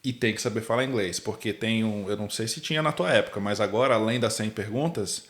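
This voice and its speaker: A man's voice: on the low side, quick pace. A man hosting a podcast, explaining things in an informal, casual way. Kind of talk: casual interviewing. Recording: roomy echo.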